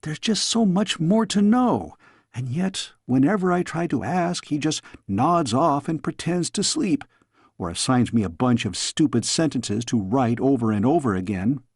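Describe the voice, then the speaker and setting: A man's voice: medium pitch, animated, measured pace, husky, no room sound, clean studio sound. A man voicing a young princess, an earnest, chatty and headstrong daughter in a storybook. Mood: exasperated.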